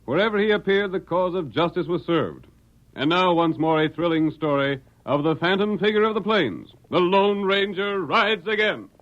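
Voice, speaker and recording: A man's voice: sits high, lively intonation, moderate pace, a bit bright. A man announcing and narrating an old radio western in a grand, theatrical scripted style. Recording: dull vintage radio recording with music.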